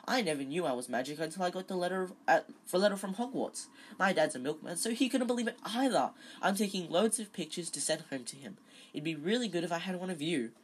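A woman's voice: fairly low pitch, animated, brisk, hardly any pauses, slight background noise, close to an everyday voice. A woman voicing an eager, starstruck small schoolboy who babbles and stammers breathlessly in a storybook reading. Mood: excited, imploring.

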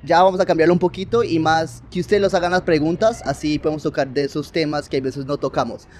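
A man with a slightly high voice hosts a casual interview podcast. He is upbeat and chatty, and faint ambient noise runs underneath. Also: steady tone, darkish tone. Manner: upbeat, chatty, with quick repeated agreements. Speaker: podcast host.

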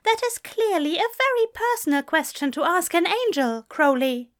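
A prim, easily flustered male angel from an audio story, played by a woman. The voice is pitched high and lilts widely, fussy and proper in its delivery. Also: very animated, moderate pace. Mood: affronted. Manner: fluttery, proper, hesitant when flustered.

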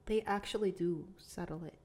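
Low-voiced young woman from Iraq. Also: a little mellow. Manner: storytelling, halting with restarts and fillers. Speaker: podcast co-host. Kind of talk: casual chat.

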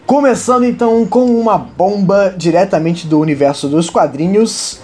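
Mellow-voiced excitable Brazilian man. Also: sits high, normal speed, faint music behind. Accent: Brazilian Portuguese. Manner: excitable, swears freely, laughs often. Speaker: podcast co-host. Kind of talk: casual chat presenting news. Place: at home.

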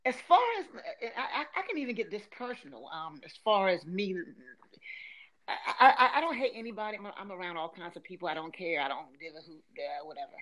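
A woman's voice: fairly low pitch, animated, brisk, a bit bright, poor audio. A middle-aged woman from Philadelphia with a Philadelphia accent, chatting casually, laughing often and restarting her sentences. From Philadelphia, USA. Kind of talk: casual chat.

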